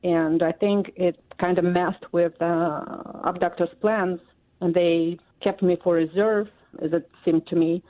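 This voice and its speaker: Woman, 40s, Russian accent, Russian native, interview guest, halting with fillers, tinny phone-line sound. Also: lowish voice, steady tone. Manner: halting, many fillers and pauses. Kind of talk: interview answers.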